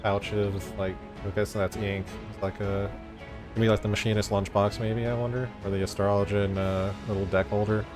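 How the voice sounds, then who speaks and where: Guy streamer just musing over a game, lowish flat voice, music pretty clear behind him. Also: normal speed. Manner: offhand, musing, loosely phrased. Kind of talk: casual gameplay commentary. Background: prominent game music.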